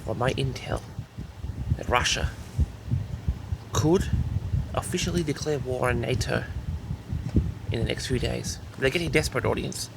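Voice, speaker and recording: A man's voice: mid-range voice, a little slow, creaky, long pauses, background noise. A man delivering a mock-serious, grandiose monologue, repeatedly addressing his audience with self-important certainty. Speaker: host of a comedic audio log.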